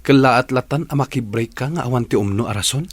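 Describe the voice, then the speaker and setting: A man with an Ilocano accent and a husky voice acting an indignant boyfriend being dumped in a radio drama. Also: mid-range voice, fast, no echo, lightly acted.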